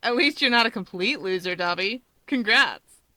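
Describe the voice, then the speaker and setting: Woman playing a brash, loud, cocky superhero woman. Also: mid-range voice, lively intonation, normal speed, no room sound, poor audio. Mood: gleeful teasing. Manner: mocking, exuberant taunting. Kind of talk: fan fiction audiobook character voice.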